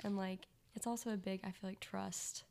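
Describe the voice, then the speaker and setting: A woman's voice: mid-range voice, fairly even intonation, moderate pace, creaky, darkish tone. A woman of nineteen chatting casually as a podcast guest, peppering her talk with "like". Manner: heavy use of "like". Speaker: podcast guest, college student. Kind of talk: casual chat.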